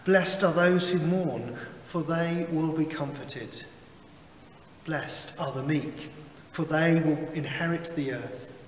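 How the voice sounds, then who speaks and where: A man in his thirties leads prayers and reads scripture in an unhurried, measured way. His voice sits a little high and carries a long reverb tail from the chapel. He is heard from a distance with faint room noise behind. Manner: slow, measured liturgical delivery. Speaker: chaplain leading worship. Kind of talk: reading scripture and leading prayers. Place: small chapel with wood-panelled wall. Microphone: far camera, seated behind a lectern.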